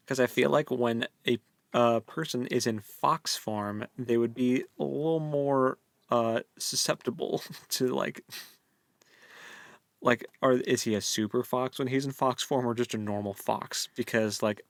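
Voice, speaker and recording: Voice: mid-range voice, fairly even intonation, unhurried, creaky, no echo. A playful, teasing co-host of a book podcast, chatting casually. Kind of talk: casual book-club chat.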